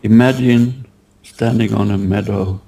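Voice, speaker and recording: A man leading a guided meditation, giving halting, unhurried instructions and asking gentle questions. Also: fairly low pitch, steady tone, vocal fry, slightly rough, rough recording.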